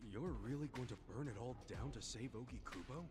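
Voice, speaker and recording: A man's voice: mid-range voice, a little fast, lightly acted. An actor playing a sceptical, blunt male hero in a crime drama video game. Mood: incredulous. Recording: game music behind.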